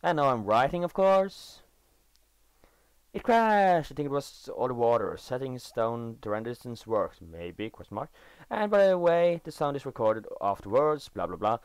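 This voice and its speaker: A man's voice: mid-range voice, animated, clean tone, dry recording. Teen boy with a Norwegian accent. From Norway. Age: teenager. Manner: halting, self-correcting, enthusiastic about game details. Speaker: gaming video creator. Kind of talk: casual gameplay commentary. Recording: dry voice-over recorded after gameplay.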